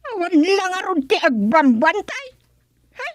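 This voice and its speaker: A woman's voice: very high voice, big pitch swings, measured pace, no echo, clean studio sound, full character voice. A woman playing a bossy, impatient, scheming aunt in a radio comedy drama, with a commanding, conspiratorial manner. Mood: impatient, scolding.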